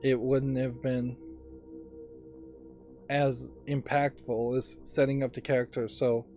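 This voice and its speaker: A man's voice: medium pitch, little pitch movement, slow pace, warm tone. A man giving casual, analytical commentary as he plays a video game, with the game's audio behind him. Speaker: let's play streamer. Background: game music and dialogue.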